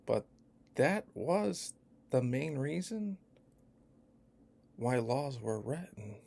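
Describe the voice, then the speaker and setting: Gravelly, emphatic man on a profane rant. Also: mid-range voice, very animated, very slow. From Chicago. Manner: emphatic and profane, swinging between hushed and loud. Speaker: vlogger. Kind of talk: opinion rant.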